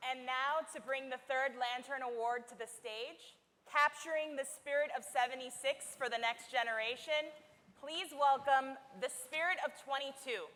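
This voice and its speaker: A woman's voice: high voice, steady tone, normal speed, fairly bright tone. A woman presenting an award at a ceremony, speaking in a formal, announcing manner in a large church hall. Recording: reverberant hall with a faint crowd.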